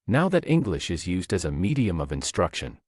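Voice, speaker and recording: A man reads scripted narration in a low voice. His intonation moves around in a very lively way, and the sound is dry and close, with no room echo. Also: a little fast. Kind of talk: scripted read-aloud narration.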